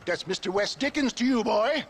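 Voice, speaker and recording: A grandiloquent old huckster in a western game, swooping theatrically through a high voice. He is wily and florid, with faint ambient noise behind him. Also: expressive, moderate pace. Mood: wheedling, sly. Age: older. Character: pompous, wily old male snake-oil salesman. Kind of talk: video game western acting.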